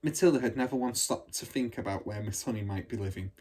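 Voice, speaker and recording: A man reading a children's storybook aloud like a teacher, in an expressive, measured storytelling manner. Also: medium pitch. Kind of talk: storybook narration.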